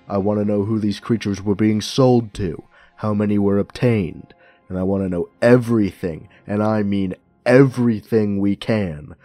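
Guy playing a gruff driven detective barking orders, dark low voice, audio drama. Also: no room sound, natural voice. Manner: terse, commanding. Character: hard-boiled male detective, gruff, commanding, driven.

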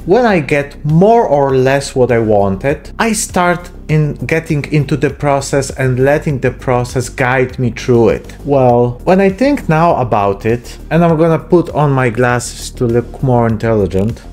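A man's voice: medium pitch, lively intonation, measured pace, few pauses, a little mellow. A man in his thirties giving a casual, self-deprecating narrated hobby tutorial, recorded close on a desk microphone in a small room. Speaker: host of miniature painting channel. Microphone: close desk microphone with pop filter.

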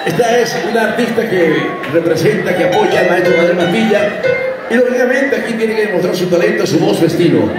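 A man emceeing a live concert in a large dance hall, shouting and joking over a handheld microphone to the crowd. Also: fairly quick, over loud music. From Colombia. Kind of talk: live stage announcing. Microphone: handheld mic through a PA, filmed by a phone in the crowd. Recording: booming PA echo in a loud, noisy hall.

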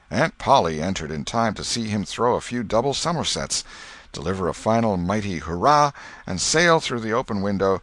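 A man reading an audiobook as expressive storybook narration. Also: on the low side, dry recording. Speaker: audiobook reader.

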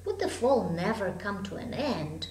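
A woman in her thirties reads a children's story expressively, with dramatic, deliberate phrasing, in a room at home. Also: medium pitch, sing-song, a little mellow. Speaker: storybook reader. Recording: echoey room, hum and background noise.

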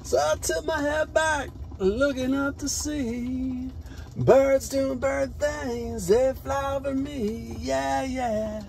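A man's voice: extremely high pitch, steady tone, creaky, a little mellow, faint music behind. American man performing rhythmic spoken word poetry. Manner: drawn-out, rhythmic, emphatic.

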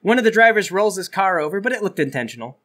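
A man's voice: sits high, sing-song, fast, fairly bright tone. A man working as game master, improvising narration for a tabletop game.

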